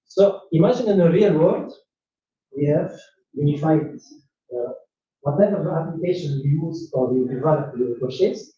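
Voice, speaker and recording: A man's voice: a little high, normal speed, mellow, distant mic, a little hiss or hum. Echoey man presenting in a hall. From Tunisia. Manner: hesitant, many fillers and restarts, non-native phrasing. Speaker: conference speaker. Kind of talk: technical conference presentation. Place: conference room, large hall. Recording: echoey room mic from afar, dull.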